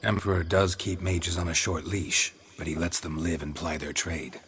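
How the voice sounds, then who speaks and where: Low deadpan fantasy game witcher, stoic and terse, faint crickets behind him. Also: moderate pace, a little hiss or hum. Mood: probing. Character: stoic, dry-witted male fantasy witcher, world-weary wanderer. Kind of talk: dramatic video game dialogue.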